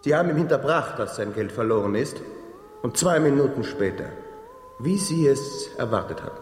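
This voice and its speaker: An actor playing a cold, accusing gentleman in a radio play, speaking in a formal, cutting, controlled way. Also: medium pitch, animated, moderate pace, long reverb tail, a little hiss or hum, natural voice.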